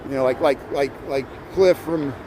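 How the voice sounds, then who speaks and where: Man, middle-aged, Chicago-Midwestern accent, rambling live-stream chat, outdoors, phone mic, steady traffic noise. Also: medium pitch, moderate pace. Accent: Chicago-Midwestern mix. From Indiana. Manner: chatty, repeats words, greets viewers by name. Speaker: livestream host. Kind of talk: live walking tour chat. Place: outdoors on snowy city streets. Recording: street noise behind. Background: traffic and city noise.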